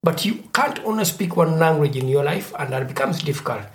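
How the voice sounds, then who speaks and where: Podcast host, Ugandan accent, mid-range pitch, thoughtful, long winding sentences. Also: animated, a little fast. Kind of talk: conversational interview.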